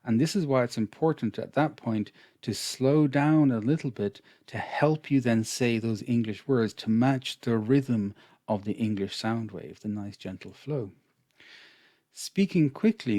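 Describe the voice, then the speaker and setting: A man with an Irish accent, an English teacher explaining a lesson over slides in a measured, patient manner. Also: mid-range voice, dark tone, no room sound, clean studio sound. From Ireland.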